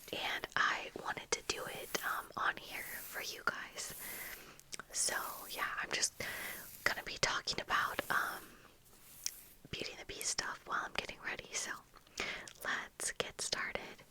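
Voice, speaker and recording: Slow, bright whispering young woman. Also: low-quality recording. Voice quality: whispered, breathy. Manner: unhurried, rambling casual delivery. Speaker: ASMR video creator. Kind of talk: whispered ASMR get-ready-with-me chat. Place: small indoor room with bookshelves. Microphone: close fluffy-covered ASMR microphone, earphones worn.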